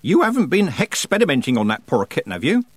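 A man's voice: on the high side, huge pitch swings, quick pace, no room sound, pitched above the performer's own voice. A man voicing a cheeky, teasing old village witch woman in a storybook reading. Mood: chatty, frank.

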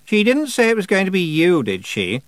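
A man's voice: high voice, huge pitch swings, unhurried, fairly bright tone, no room sound, voice pushed up, full character voice. A snappish teenage witch whose every word sounds like an accusation, as a male reader puts her on. The voice is lifted well above his own and swings widely up and down. It is dry and close, with no room around it. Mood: cutting. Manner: snappish, needling. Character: sharp, condescending teenage girl witch.

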